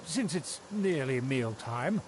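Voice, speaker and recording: A man's voice: mid-range voice, lively intonation, slow, crowd noise. An actor playing a pompous, haughty nobleman in a video game, speaking in formal, old-fashioned phrases. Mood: delighted, flustered. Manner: pompous, formal, archaic diction. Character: pompous, haughty nobleman of a declining aristocratic clan. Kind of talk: scripted video game character dialogue. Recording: game music behind the voice.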